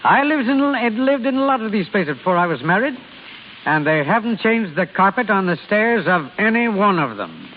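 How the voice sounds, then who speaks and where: A mature man as a wry, avuncular father. His voice is a little high and very lively in pitch. It comes from an old radio drama, slightly muffled, with faint hiss. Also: normal speed, in a large hall. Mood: gentle, reassuring. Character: wry, kindly father of a grown daughter. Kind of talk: 1930s-40s American radio drama acting.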